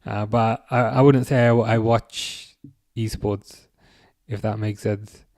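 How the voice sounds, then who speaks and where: A man hosting a live watch-along stream, chatting casually with viewers in a relaxed, hesitant way with plenty of fillers. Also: fairly low pitch, slow, dry recording. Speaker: livestream host reading chat.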